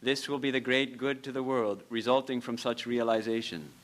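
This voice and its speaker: A man, a monk of a religious order, giving a lecture in a temple, telling stories with soft laughs and earnest, repeated phrases. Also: medium pitch, steady tone, moderate pace. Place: temple hall.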